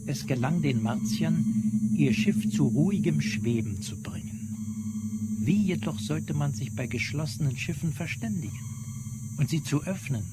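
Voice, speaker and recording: A man's voice: a little high, animated, a little slow, heavy background noise. A man narrating a scripted science-fiction story in a dramatic style. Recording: loud music behind the voice.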